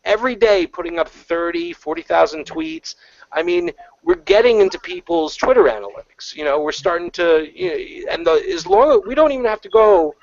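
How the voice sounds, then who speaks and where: A man's voice: sits high, animated, normal speed. A man in a casual online panel chat, rambling with lots of fillers and restarts. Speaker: panel guest. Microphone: video call. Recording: lo-fi online call audio.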